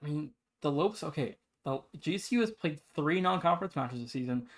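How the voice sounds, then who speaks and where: A man's voice: on the high side, expressive, a little fast. Emphatic man recapping sports results. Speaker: sports podcast host.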